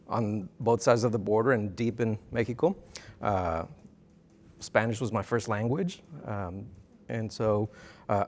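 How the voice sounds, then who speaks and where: A middle-aged man from South Texas answering interview questions in a TV studio, thoughtful and full of "uh" and "um" fillers. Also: fairly low pitch. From South Texas border region. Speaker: interview guest, dean of students. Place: TV news studio set. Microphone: lapel mic.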